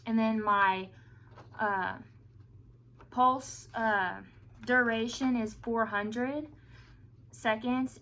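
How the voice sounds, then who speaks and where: Higher-voiced young woman, distant and noisy. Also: a bit bright. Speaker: student demonstrating a therapy technique. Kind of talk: informal instructional demonstration. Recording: distant mic, clear background noise.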